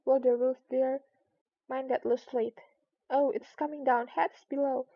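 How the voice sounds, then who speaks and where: Woman as bustling storybook animal worker, bossy, rustic, urgent, high-ish voice, dull recording. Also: steady tone, a little slow. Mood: hurried, bickering. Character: bustling, bickering crowd of small animal workers.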